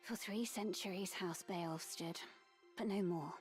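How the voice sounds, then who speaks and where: An actress playing a somber woman mourning a fallen noble house in a scripted game drama, measured and portentous. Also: on the low side, soft background music, close to an everyday voice.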